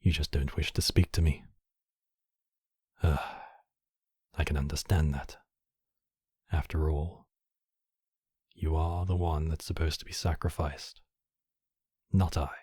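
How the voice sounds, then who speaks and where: A man's voice: a bass voice, little pitch movement, measured pace, pauses often, a little mellow, husky, dry recording, clean studio sound, heavily acted. A man playing a commanding yet compassionate demon king, speaking in formal, regal phrases in an intimate ASMR roleplay. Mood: cool, probing.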